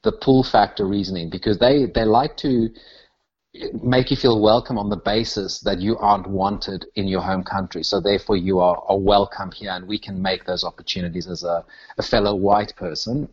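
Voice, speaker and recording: Low-voiced South African man, slightly dull sound. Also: fairly even intonation, normal speed, breathy edge. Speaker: interview guest, touring comedian. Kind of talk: reflective conversational interview answers.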